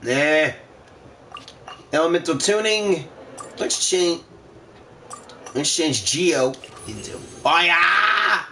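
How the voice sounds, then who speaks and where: Gaming host, male; high, bright, lively voice with an unhurried pace, excitable with sudden shouts, light music under. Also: creaky, slight background noise. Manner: excitable, with sudden shouts and laughs at good plays; reads card text aloud in a plain, measured way. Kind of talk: casual gameplay commentary.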